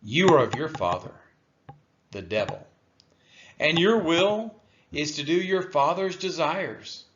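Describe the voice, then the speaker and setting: A man reading scripture aloud in a solemn style, with deliberate, emphatic phrasing and a dull, lo-fi sound. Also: fairly high pitch, lively intonation, fairly bright tone, a little hiss or hum. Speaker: scripture reader.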